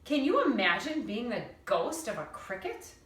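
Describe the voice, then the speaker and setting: Bright-voiced woman reading aloud, roomy echo. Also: a little high, normal speed. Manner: animated, dramatic storytelling with emphatic words. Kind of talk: expressive children's novel read-aloud. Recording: roomy echo, distant mic.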